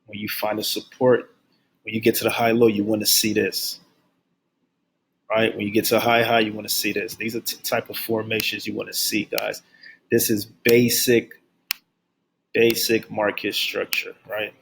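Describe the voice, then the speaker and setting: A young man gives a trading lesson in a deep, flat, deliberately hushed voice. He repeats key phrases again and again. A faint hum of ambience sits behind him. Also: gravelly, rough recording. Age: young adult. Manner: speaks low and subdued on purpose; repetitive, drills key phrases. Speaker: forex trading instructor. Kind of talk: screen-share trading lesson. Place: at his workplace.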